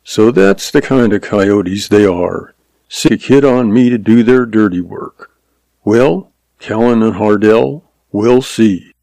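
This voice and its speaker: A man acts out a hardened gunfighter from the Old West in a storybook reading. The voice is low and full of gravel, hard and level. He is tired of his trade and speaks in dry, laconic cowboy phrases, close and dry on the recording. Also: slow pace, mellow, no echo. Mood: grim muttering. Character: hardened, weary, dry-spoken Western gunfighter from Arizona.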